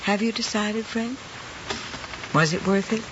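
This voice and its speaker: An actress playing a composed, gently probing woman teacher, measured and proper in an old screen drama. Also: medium pitch, close to an everyday voice. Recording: dull, hissy old film soundtrack.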